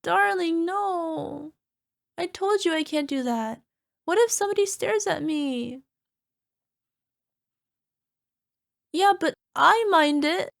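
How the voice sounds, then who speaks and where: A woman plays a self-conscious monster girlfriend with a high-pitched, creaky voice. She speaks conversationally, as in an ASMR roleplay, sounding insecure and dramatically, playfully exasperated with her darling. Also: expressive, measured pace, full character voice. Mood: anxious, touched. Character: insecure chubby flying purple people eater girlfriend, a cyclops monster.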